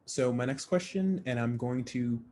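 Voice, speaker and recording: Male panel moderator, friendly and encouraging, measured delivery, faint background hiss. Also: medium pitch, animated, darkish tone.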